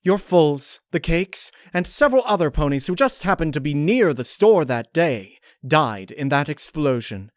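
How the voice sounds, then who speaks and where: A man voicing a refined, vengeful female pony in a dark storybook reading, with formal, condescending, theatrical diction. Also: a little high, sing-song, moderate pace, hardly any pauses, no echo, clean studio sound, voice pushed up. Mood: cold, accusing. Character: refined vengeful female pony, chillingly polite, unhinged underneath.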